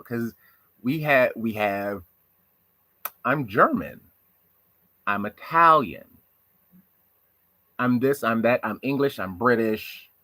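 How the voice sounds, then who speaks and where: A man's voice: mid-range voice, pushed and bright, no echo. A man from the United States giving a reflective monologue on social issues, asking rhetorical questions. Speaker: commentator.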